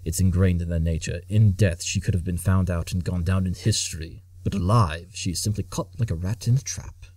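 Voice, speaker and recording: Man playing a husky, very low pony detective. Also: steady tone, mellow, no room sound. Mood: smug, lecturing. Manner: measured, theatrical, self-assured. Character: brilliant, smug pony detective, male, relishing a mystery. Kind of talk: audio drama acting.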